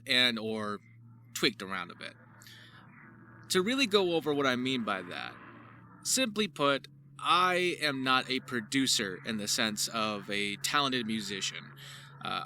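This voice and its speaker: A man's voice: medium pitch, pushed and bright. A man presenting his voice acting work in a scripted, theatrical narration with florid wording. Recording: faint ambient noise behind.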